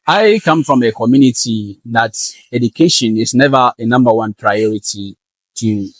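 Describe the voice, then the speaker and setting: A young man from northern Ghana with a Ghanaian accent, answering interview questions in an earnest, measured way. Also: medium pitch, dry recording, poor audio. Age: young adult. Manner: earnest and measured, with frequent fillers. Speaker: guest, valedictorian student.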